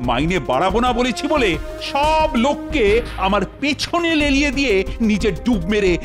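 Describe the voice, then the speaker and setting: Animated, high-voiced commanding king. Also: moderate pace, over music. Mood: exasperated. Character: exasperated, authoritative cartoon king holding court. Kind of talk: Bengali cartoon voice acting.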